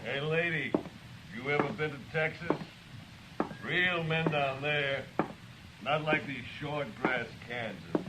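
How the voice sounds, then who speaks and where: An actor playing a drunken, bullying Texas cowboy, taunting and folksy, in an old-time radio western. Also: male voice, on the high side. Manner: taunting, drawn-out folksy talk. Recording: noisy vintage radio recording.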